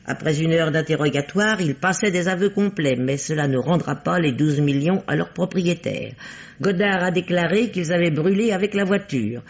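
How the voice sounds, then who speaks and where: High-voiced, bossy amateur sleuth. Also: male voice, normal speed, gravelly. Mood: reading aloud. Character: shrewd, bossy amateur sleuth, teasing his female partner. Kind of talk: 1950s French radio mystery acting. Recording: dull vintage radio recording.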